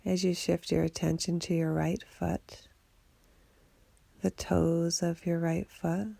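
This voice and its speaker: A woman leads a guided relaxation in a low voice with very heavy creak. She gives gentle instructions without hurry, soothing and steady. The delivery stays very even in pitch. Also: slow pace, no echo. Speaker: meditation guide. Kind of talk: guided body scan meditation for sleep.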